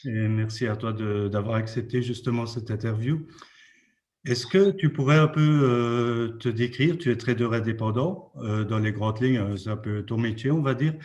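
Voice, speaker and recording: A man's voice: medium pitch, moderate pace, darkish tone. A man hosting his channel, asking a guest questions politely with some fillers. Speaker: interviewer, channel host. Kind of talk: interview questions.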